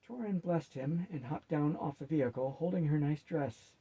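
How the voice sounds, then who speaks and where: A man's voice: on the high side, normal speed, mellow, husky, rough recording. A man reading a fantasy story aloud as a narrator.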